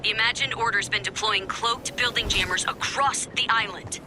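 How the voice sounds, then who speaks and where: Woman playing a wry, self-assured game resistance fighter over loud music. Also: fairly high pitch, moderate pace, natural voice. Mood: briskly instructive. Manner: cool, dry, self-assured, commanding. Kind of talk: video game mission briefing voice acting.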